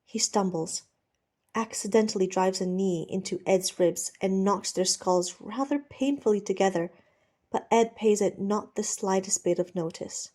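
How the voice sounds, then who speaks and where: A woman narrating fiction as an audiobook reader, measured and expressive, with a dramatic storytelling style. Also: medium pitch.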